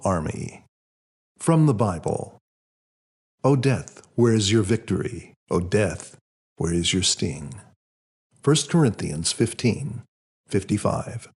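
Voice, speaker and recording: A man reading his own novel as a scripted audiobook, dramatic and solemn in a deliberate storytelling manner. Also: on the low side, animated, vocal fry, a little mellow, husky.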